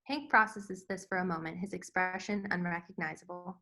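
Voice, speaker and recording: A woman's voice: lowish voice, fairly even intonation, fairly quick, music in the background. A woman reading stage directions as scripted narration for a screenplay table read.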